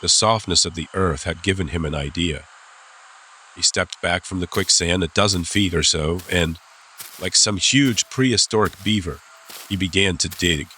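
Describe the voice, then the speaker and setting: Male narrator for an adventure audiobook: low voice, suspenseful delivery, clear ambient sound effects behind. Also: pushed and bright. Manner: suspenseful, deliberate phrasing. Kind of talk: scripted dramatic audiobook narration. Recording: clear background ambience noise.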